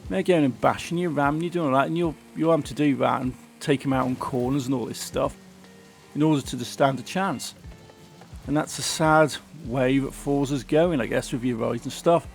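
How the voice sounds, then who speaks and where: A man talks through a racing game in a relaxed, chatty commentary. His voice is croaky and gravelly from a sore throat. Faint game music plays underneath. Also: medium pitch, normal speed. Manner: chatty, grumbling about the game. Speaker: gaming channel host. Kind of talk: casual gameplay commentary.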